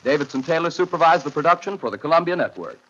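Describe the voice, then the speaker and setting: A man's voice: fairly high pitch, expressive, quick pace, fairly bright tone. A man announcing formally on a 1930s radio network, reading credits in a scripted style. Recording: dull, noisy 1930s radio recording.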